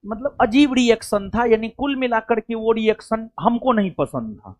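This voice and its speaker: A man's voice: extremely high pitch, expressive, normal speed. A man speaks to a coaching class. His talk is loose and chatty. He keeps circling back with little check-ins to the students. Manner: chatty, rambling, repeats "theek hai". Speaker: coaching teacher.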